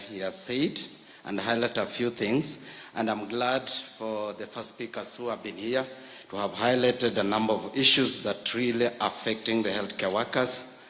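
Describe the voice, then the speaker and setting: A man's voice: on the low side, bright, forceful tone. Kenyan man speaking in a reverberant hall. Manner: measured, with frequent "uh" fillers, rising emphasis on key points. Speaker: health worker leader addressing a political forum. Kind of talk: formal public speech. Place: large university hall.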